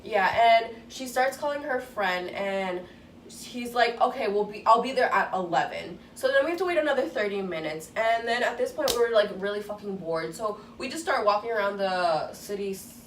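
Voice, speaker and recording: A woman's voice: medium pitch, expressive, normal speed. A teenage girl with a babyish voice telling a story in a rambling, casual way, with lots of swearing. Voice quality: youthful, babyish voice. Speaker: vlogger. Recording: echoey room, distant mic, faint noise.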